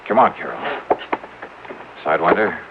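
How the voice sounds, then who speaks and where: A take-charge American detective hero from vintage radio. The voice is low with a widely moving pitch, on a muffled recording with faint hiss. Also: male voice, animated, moderate pace, gravelly. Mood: wry, controlled. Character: tough, decisive male investigator hero. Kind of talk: old-time radio detective acting.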